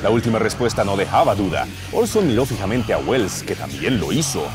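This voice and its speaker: A man with a Colombian accent delivers scripted radio-serial narration. His voice is fairly low, and he builds mock-epic suspense. Music plays clearly behind him. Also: big pitch swings, a little fast. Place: dark radio studio. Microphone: close broadcast microphone.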